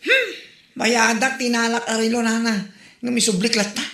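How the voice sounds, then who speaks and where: A woman plays a gossipy neighbor with a gravelly, bright voice and an Ilocano accent. She gossips with loud, dramatic exclamations and teasing, and the room around her echoes. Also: fairly high pitch, fairly even intonation, moderate pace. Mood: animated.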